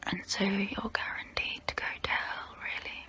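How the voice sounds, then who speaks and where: A woman playing a tormented, confessional female vampire in an ASMR role play, whispering softly and breathily up close to the mic. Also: lightly acted. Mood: wistful, resigned. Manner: slow, hushed, deliberate, pausing between phrases. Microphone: close-up microphone.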